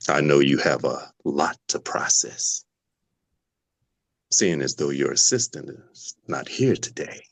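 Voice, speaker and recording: A man playing a vengeful, grieving patient in a dramatic table read, slow and taunting with sudden drops toward a whisper. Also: a bass voice, lively intonation, gravelly, dry recording, full character voice. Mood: gloating menace. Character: menacing, vengeful male patient grieving his twin sister.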